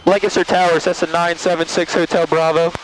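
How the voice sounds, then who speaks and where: A man's voice: on the high side, flat delivery, fairly quick. A man flying a small plane, making pilot calls in aviation phraseology over the aircraft radio. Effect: aircraft radio intercom. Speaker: private pilot. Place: small Cessna cockpit in flight. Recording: noisy cockpit radio audio. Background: aircraft engine noise, radio clicks.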